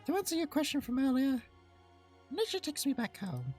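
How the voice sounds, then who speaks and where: A sweet young country girl from a dating game, speaks in a very high, girlish pitch. She is cheerful and bubbly, with an exaggerated cutesy delivery. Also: wildly swooping pitch, normal speed, a little mellow, soft background music. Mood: cheerful, nostalgic. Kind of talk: visual novel character read aloud.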